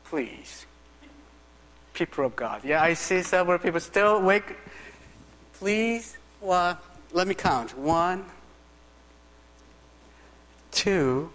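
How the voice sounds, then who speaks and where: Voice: mid-range voice, animated, slow, pauses often, fairly bright tone. A pastor giving a sermon who jokes with and coaxes the congregation. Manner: joking, coaxing the congregation. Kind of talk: sermon with playful audience interaction. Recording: echoey hall reverb.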